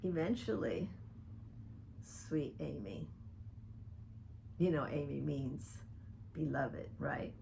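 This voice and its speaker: Slow, gravelly older woman speaking pointedly. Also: sits low, expressive, warm tone, a little hiss or hum. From Dominican Republic. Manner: earnest, pointed, speaks directly to the listener by name. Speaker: vlogger addressing a public figure. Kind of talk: personal open-letter video monologue.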